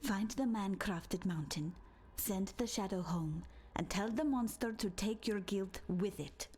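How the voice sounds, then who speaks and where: Slow, husky mystic seer. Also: female voice, mid-range voice. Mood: ominous, mystical. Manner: slow, cryptic, hushed. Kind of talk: video game dialogue acting.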